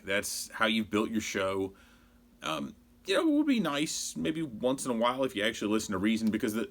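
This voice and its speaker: American man, forties, lowish pitch, casual sports host, rambling, conversational, exasperated, opinion monologue. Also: a bit bright. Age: 40s. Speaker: sports blogger and show host.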